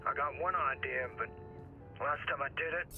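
An actor playing a scruffy, foul-mouthed older hacker ally in a video game, talking with folksy, slangy bravado. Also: male voice, on the high side, moderate pace, gravelly, phone-quality sound. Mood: grim, wry. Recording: loud music over the voice.

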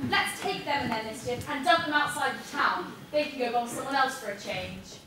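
A woman's voice: on the high side, lively intonation, a little fast, pushed and bright. A woman playing an earnest, emphatic heroine in an amateur stage pantomime. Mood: indignant. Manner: emphatic, theatrical. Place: theatre stage, filmed from far off. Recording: echoey theatre, distant microphone, audience noise.

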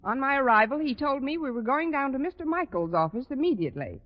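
A quick-witted woman teacher in an old radio sitcom. Her higher voice swings through dry, wry lines, heard on a dull recording with a long hall ring. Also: sing-song. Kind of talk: 1940s radio comedy acting. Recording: dull 1940s radio broadcast in echoey hall.

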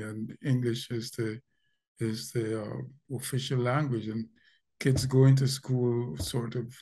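An older man from Guyana with a Guyanese accent, speaking as a guest in a video call interview, thoughtful and pausing, often repeating words as he searches for them. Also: medium pitch, darkish tone. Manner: slow, thoughtful, with frequent pauses and repeated words. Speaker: linguist guest. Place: home room indoors. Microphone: video call webcam.

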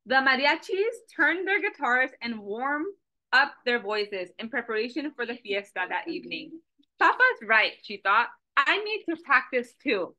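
A young woman reading a picture book aloud to children on a video call, with a lively questioning manner. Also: fairly high pitch, measured pace, rough recording. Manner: animated, asks children questions, switches between English and Spanish. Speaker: storytime reader. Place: small office with a Mexico flag poster. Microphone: video call webcam.

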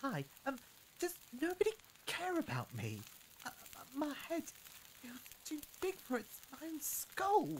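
Woman acting a high, distressed, halting captive. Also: huge pitch swings, a little hiss or hum, full character voice, poor audio. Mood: pained, confused. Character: distressed, frightened captive in pain, pushing others away. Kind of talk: audio drama acting.

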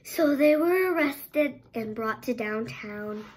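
A young girl reading a story aloud with dramatic, drawn-out emphasis, sometimes stumbling over words. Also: on the high side, lively intonation, husky, poor audio. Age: child, around 8 to 10. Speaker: child presenter reading a picture book aloud. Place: bedroom, indoors.

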